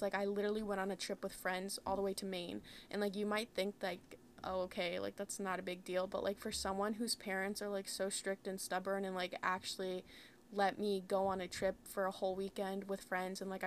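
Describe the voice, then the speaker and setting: A woman's voice: mid-range voice, a little hiss or hum. A young woman of about twenty hosting her own podcast, talking candidly in a rambling, casual way with lots of "like" fillers. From United States. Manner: candid, rambling, heavy use of "like". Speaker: podcast host. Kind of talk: casual solo podcast talk.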